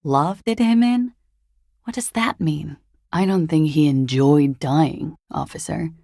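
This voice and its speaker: Casting: hungover, guarded young disco dancer for a game. Mellow, dark tone, close dry sound, wry trailing delivery. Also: female voice, low voice, creaky, breathy edge, no room sound, heavily acted. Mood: evasive, measured. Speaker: voice actor in a video game. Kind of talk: video game character dialogue.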